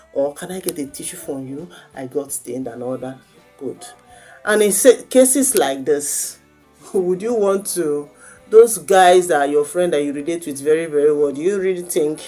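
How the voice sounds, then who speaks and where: A woman's voice: low-pitched, mellow. Nigerian woman talking over music. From Lagos, Nigeria. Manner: conversational, asks and answers own rhetorical questions. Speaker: vlogger giving parenting advice. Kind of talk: informal advice talk with anecdotes.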